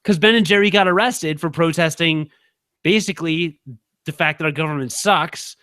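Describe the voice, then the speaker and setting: Excitable jokey man, slightly high voice. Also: normal speed, clean tone, no echo. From Illinois. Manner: excitable, jokey, rambling rants. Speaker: podcast co-host. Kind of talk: casual chat.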